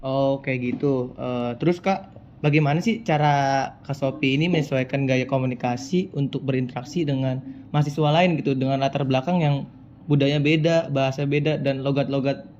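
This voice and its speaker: A man's voice: mid-range voice, darkish tone. A young man interviewing a fellow student, asking polite, hesitant questions with many fillers. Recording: muffled lo-fi audio with faint background noise.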